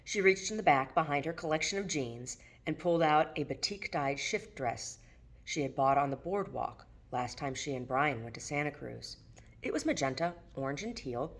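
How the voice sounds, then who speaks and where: A woman's voice: low-pitched, slight background noise. A woman narrating her own work of fiction as an audiobook reading. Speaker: author reading own novel aloud.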